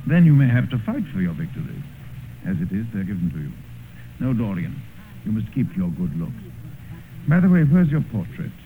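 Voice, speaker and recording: An actor playing a worldly, cynical gentleman who also narrates a radio drama in a suave, measured, eloquent way. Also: on the low side, big pitch swings. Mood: cynical, smooth. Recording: noisy, muffled vintage radio recording.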